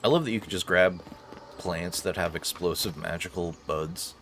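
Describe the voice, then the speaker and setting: A man hosting a casual video game playthrough, commenting in an expressive, jokey, slangy way. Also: sits low, normal speed, noisy background. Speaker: gaming host doing a playthrough. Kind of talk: casual let's play commentary, partly voicing dialogue. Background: faint game ambience.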